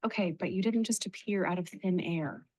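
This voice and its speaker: A woman's voice: on the low side, a little fast, mellow, dry recording, lightly acted. An actress playing a skeptical, questioning young woman in an audio drama adventure, matter-of-fact in manner.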